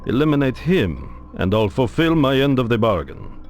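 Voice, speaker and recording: An actor playing a shrewd, bargaining resistance contact in a video game, deliberate and expressive in delivery. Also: male voice, medium pitch, close to an everyday voice. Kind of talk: video game cutscene voice acting. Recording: faint music behind the dialogue.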